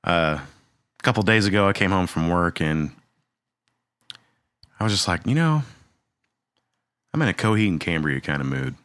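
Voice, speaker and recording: A man's voice: low voice, lively intonation, moderate pace, long pauses, a bit bright, no echo, studio quality. A man co-hosting a podcast, chatting casually and jokingly, with rambling stories. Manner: laid-back, rambling, joking. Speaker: podcast co-host. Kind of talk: casual chat.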